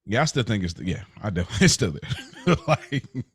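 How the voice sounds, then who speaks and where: A man's voice: on the low side, sing-song, brisk, slightly rough, no echo. An American man co-hosting a podcast, chatting casually in an informal, slangy way. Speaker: podcast co-host. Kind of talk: casual conversation.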